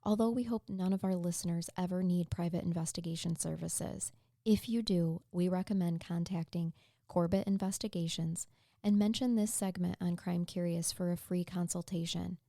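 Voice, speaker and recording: A woman's voice: on the low side, fairly even intonation, moderate pace, warm tone. A woman hosting a podcast, talking in a bubbly, chatty way that moves between ad reads and banter with her co-host. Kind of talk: casual chat.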